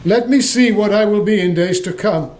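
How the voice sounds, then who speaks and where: Radio drama lead: male actor as repentant old miser, high-pitched, stammering, theatrical. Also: moderate pace, darkish tone, a little hiss or hum, lightly acted. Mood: fearful. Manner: stammering, breathless exclamations. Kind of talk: stage-like radio play acting.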